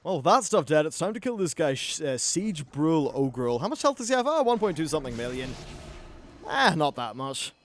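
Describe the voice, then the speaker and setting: A man's voice: fairly high pitch, sing-song, brisk. A young man giving a chatty, informal, mildly sweary commentary as he plays through a video game. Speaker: gaming YouTuber. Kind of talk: casual game playthrough commentary. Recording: clear background noise, game ambience.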